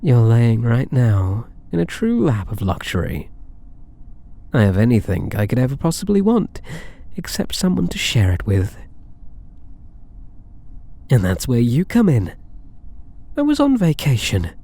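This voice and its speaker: A man's voice: medium pitch, huge pitch swings, unhurried, pauses often, warm tone, heavily acted. A British man voicing a possessive, smug, doting catboy cat burglar in an intimate ASMR roleplay, coaxing and teasing with theatrical endearments. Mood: smug, confiding.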